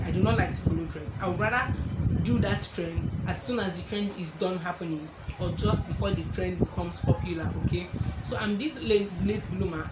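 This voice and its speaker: A young woman with a Nigerian accent, telling a personal story to her viewers in an animated way and acting out the voices of others. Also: mid-range voice. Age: young adult. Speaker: vlogger. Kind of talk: storytelling to camera. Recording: echoey room with background noise.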